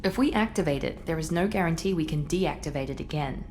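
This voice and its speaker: A poised, businesslike officer, played by a woman, calmly persuasive and formal. Her voice is notably low for a woman. Faint game music plays behind her. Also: fast, lightly acted. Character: cool, businesslike female officer of a secret organization. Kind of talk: video game cutscene acting. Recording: game audio with score.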